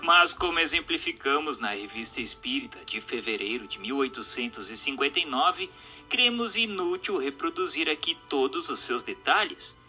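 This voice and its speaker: A man with a Brazilian accent reading a scripted text as narrator, in a formal, didactic, measured manner. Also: medium pitch, big pitch swings, pushed and bright. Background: music.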